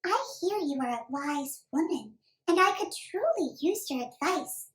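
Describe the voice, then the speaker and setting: A woman's voice: high-pitched, lively intonation, measured pace, fairly bright tone, reverberant, pitched above the performer's own voice. A woman voicing a sweet, sensitive little girl in a children's storybook, small-voiced and polite, pleading earnestly.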